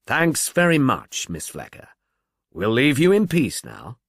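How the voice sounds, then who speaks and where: A male narrator plays an urbane amateur detective in a mystery audiobook. He is courteous and probing, a touch absent-minded, with a widely varied pitch in a dry recording. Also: huge pitch swings, unhurried, vocal fry, a bit bright, breathy edge, dry recording, heavily acted. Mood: courteous, musing. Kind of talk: audiobook character dialogue.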